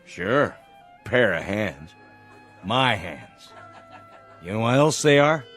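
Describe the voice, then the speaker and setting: Radio drama barfly: drunk working man, bitter and defiant, rambling with lively intonation. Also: fairly low pitch, slow, faint music behind, full character voice. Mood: morose, boozy. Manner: boozy, rambling, belligerent.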